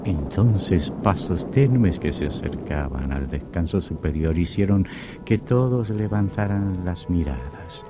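A man playing an ominous storyteller in a radio horror drama, narrating in a suspenseful, deliberate and dramatic way. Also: deep voice, expressive, noisy background. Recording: dull lo-fi radio recording with music.

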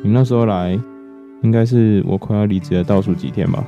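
A man's voice: on the low side, steady tone, fast, gravelly. An actor playing a weary man in his twenties who shoulders his family's burdens, confiding and reflective, in a radio drama. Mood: confiding, wry. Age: 20s. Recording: slightly muffled, guitar music behind.

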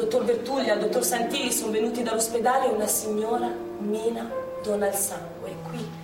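An actress playing a young woman partisan, telling her memories in a halting, impassioned stage monologue, recorded from far off on an echoey stage with music. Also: on the high side, lightly acted. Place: theatre stage.

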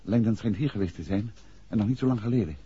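An actor playing a calm, probing gentleman detective in a 1960s Dutch radio drama. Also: on the low side, fast talker, breathy edge. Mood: musing. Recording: dull 1960s radio recording.

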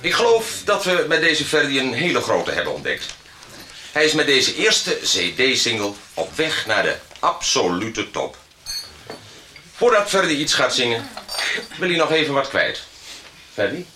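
An actor playing a formal, proud record company presenter giving a speech in a radio soap. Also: male voice, medium pitch, huge pitch swings, a little slow, pauses often, pushed and bright, full character voice. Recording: echoey room with clear noise.

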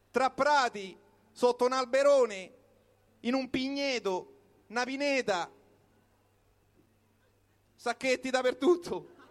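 A very high-pitched male voice with a Roman accent recites a comic monologue. He delivers it like live storytelling, in short colloquial phrases with pauses between them. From Rome, Italy. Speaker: comic performer reciting his own piece.

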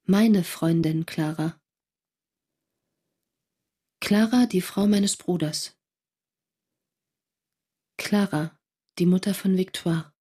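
A woman's voice: fairly low pitch, takes it slowly, pauses often, mellow, slightly rough. An actress playing a shaken woman writing her diary in a literary radio drama, speaking intensely in terse fragments.

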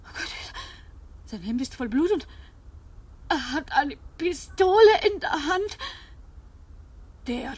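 An actress playing a callous, scornful blackmailer in a German radio drama, talking dramatically to herself. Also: female voice, high-pitched, lively intonation, slow, long pauses, distant mic. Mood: shocked. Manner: dramatic exclaiming and muttering to herself.

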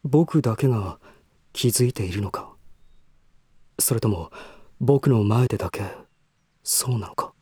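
An actor playing a troubled, reserved young gentleman in a voice drama, hesitant, introspective and formal in manner. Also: medium pitch, unhurried, pauses often, slightly rough, no echo, studio quality. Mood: anxious, brooding. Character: troubled, reserved young gentleman brooding over his half-brother. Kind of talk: Japanese voice drama acting with inner monologue.

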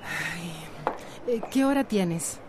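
A woman's voice: lowish voice, lively intonation, takes it slowly, background noise. An actress in a radio soap opera playing a nervous, evasive separated woman with a hesitant way of talking. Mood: flustered. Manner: flustered, hesitant.

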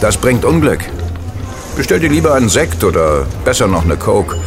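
Casting: male actor as worldly, superstitious gambler, lowish voice, chatty and knowing, radio play with clear music underneath. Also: sing-song, normal speed. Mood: relaxed, advising. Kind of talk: German audio drama dialogue.

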